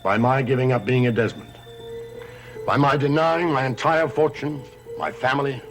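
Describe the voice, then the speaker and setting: A man's voice: medium pitch, steady tone, natural voice. Man playing a cryptic old gothic grandfather, music behind. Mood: grave, brooding. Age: older. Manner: theatrical, measured, portentous. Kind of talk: gothic television soap acting.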